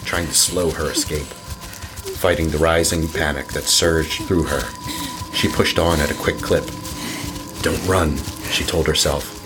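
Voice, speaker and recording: A man narrating a horror audio drama in a slow, measured storytelling manner. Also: extremely low pitch, poor audio.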